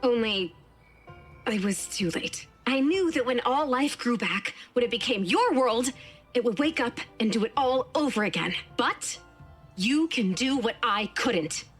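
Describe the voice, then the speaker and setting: Female scientist mentor for a game, earnest, breathy husk, animated, faint music. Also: a little high, a little slow, exaggerated voice. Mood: solemn, encouraging. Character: earnest, encouraging woman scientist mentor from the past. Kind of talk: video game voice acting.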